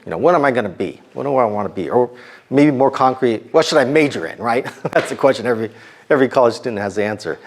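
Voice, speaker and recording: Man, middle-aged, slightly hoarse, casual sermon, chatty, halting restarts. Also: mid-range voice, animated. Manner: conversational, many ums and restarts. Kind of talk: informal sermon to students.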